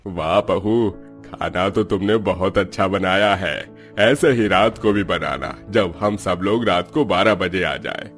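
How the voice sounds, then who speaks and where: Man acting older father-in-law, very low voice, patriarchal, cartoon drama, soft music. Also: takes it slowly, few pauses. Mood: approving. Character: approving older father-in-law, head of the household.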